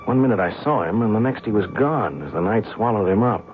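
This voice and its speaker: A man's voice: fairly low pitch, expressive, fast talker, natural voice. An actor playing a blunt, skeptical man who recounts a strange night, in an old-time radio drama style. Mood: hushed recounting. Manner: blunt, incredulous, wisecracking. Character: skeptical, brash, down-to-earth male visitor recounting a strange night. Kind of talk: old-time radio drama acting with first-person narration. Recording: tinny band-limited radio recording, music.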